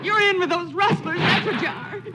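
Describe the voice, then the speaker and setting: A woman's voice: very high-pitched, a little fast, heavy background noise. An actress playing a proud, fiery young woman rancher in earnest, dramatic 1940s radio western style. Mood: outraged. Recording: 1940s radio recording with music.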